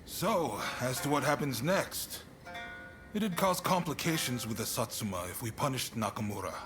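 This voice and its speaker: An actor playing an affable, unflappable and diplomatic gentleman official in a video game, breezy and conversational. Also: mid-range voice, animated, normal speed, music in the background, close to an everyday voice. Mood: calm, musing. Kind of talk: video game cutscene acting.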